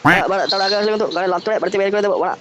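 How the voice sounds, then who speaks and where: A man's voice: sits high, steady tone, rapid-fire, noisy background, close to an everyday voice. A man voicing a scornful, foul-mouthed father who nags and threatens, in a crude comic cartoon. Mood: angry, threatening. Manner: gruff, nagging, threatening. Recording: loud music under the voice.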